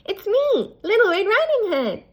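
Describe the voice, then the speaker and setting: A woman's voice: very high voice, big pitch swings, measured pace, voice pushed up, full character voice. A woman voicing an innocent, curious little girl in a storybook, asking in a wide-eyed, questioning way.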